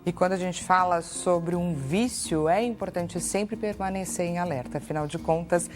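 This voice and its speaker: A woman's voice: lowish voice, normal speed, music in the background. A Brazilian woman hosting a TV interview, asking polite, formal questions. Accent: Brazilian Portuguese. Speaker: TV host.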